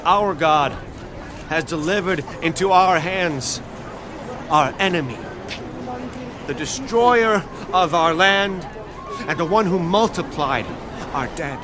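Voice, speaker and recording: A man's voice: fairly high pitch, slow pace, pauses often. A man playing a triumphant Philistine reveller, declaiming in a dramatized Bible recording. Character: gloating Philistine reveller exulting over captured enemy. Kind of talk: dramatized Bible audio acting. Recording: loud crowd and noisy ambience behind.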